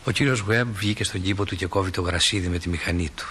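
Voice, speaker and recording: A man playing a genial stage manager who narrates small-town life in a radio play like an unhurried storyteller. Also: sits low, sharp tone, a little hiss or hum. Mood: calm, wry.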